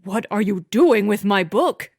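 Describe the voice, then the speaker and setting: A woman voicing a fussy, possessive husband who scolds loudly. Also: mid-range voice, lively intonation, measured pace, no room sound, clean studio sound. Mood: scolding. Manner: sharp, scolding, loud.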